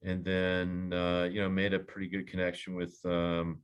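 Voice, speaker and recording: Very deep-voiced man, casual and halting. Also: monotone, smooth. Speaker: scriptwriter. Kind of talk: casual talk in an online meeting. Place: video call.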